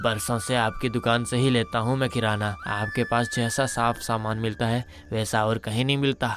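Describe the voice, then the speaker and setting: A man's voice: medium pitch, level tone, normal speed, close to an everyday voice. A man playing a polite, loyal older shop customer in a moral-story cartoon.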